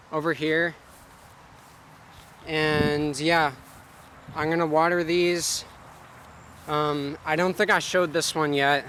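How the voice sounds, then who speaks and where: A man's voice: fairly high pitch, a little hiss or hum. Rambling man filming his garden. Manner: rambling, relaxed, lots of "like" and "um". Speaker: gardening vlogger. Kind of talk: casual self-filmed vlog. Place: outdoors in a home garden. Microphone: handheld self-filming camera.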